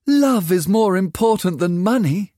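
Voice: medium pitch, expressive, a little slow, mellow, no room sound, studio quality, voice pushed up. A reader voicing Sybil, a young girl in love, dreamy and eager, in a storybook reading. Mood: defiant.

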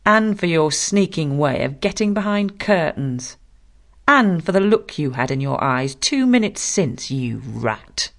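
A woman's voice: low-pitched, sing-song, unhurried, full character voice. A woman voicing a spoiled, bullying teenage boy in a storybook reading, sneering and contemptuous.

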